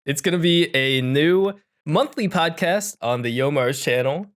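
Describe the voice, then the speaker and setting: A man hosting a podcast in casual, unscripted chat, with a jokey, halting manner that often interrupts itself. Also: fairly high pitch, lively intonation, fairly bright tone. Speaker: podcast host.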